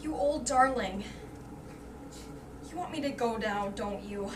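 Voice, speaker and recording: A woman plays an eager, admiring young woman with a high voice. She is polite and gushing, recorded from a distance in a roomy, echoing space. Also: takes it slowly. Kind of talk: staged reader's theater. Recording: distant roomy stage recording with background noise.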